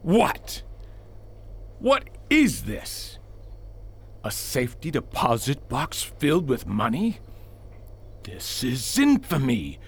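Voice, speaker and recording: A man's voice: mid-range voice, huge pitch swings, takes it slowly, long pauses, breathy edge, heavily acted. An actor playing a pompous, power-hungry male senator in a radio drama, grandiose and blustering, as if always making a speech. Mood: outraged. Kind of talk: old-fashioned radio drama acting.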